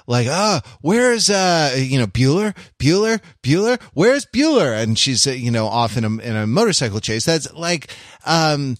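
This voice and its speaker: A man co-hosting a casual pop-culture discussion in a halting, wry way. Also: medium pitch, fairly bright tone, dry recording, clean studio sound. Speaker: podcast co-host.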